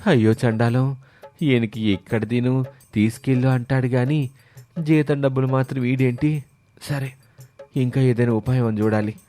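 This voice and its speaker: A man's voice: mid-range voice, steady tone, moderate pace, long pauses, warm tone, lightly acted. Man playing a humble, grumbling servant, music behind. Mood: grumbling, worried. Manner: muttering complaints, respectful to his master. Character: humble, grumbling, puzzled male servant labourer. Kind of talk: animated moral story voice acting.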